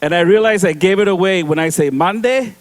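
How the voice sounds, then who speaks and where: A middle-aged man speaks in a high, light voice. He gives a motivational talk at an event, sprinkles in Spanish phrases, and keeps it animated and funny. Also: fairly quick. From Mexican-American, from San Diego. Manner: animated, mixes Spanish phrases, laughs while telling stories. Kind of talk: motivational speech with comedy.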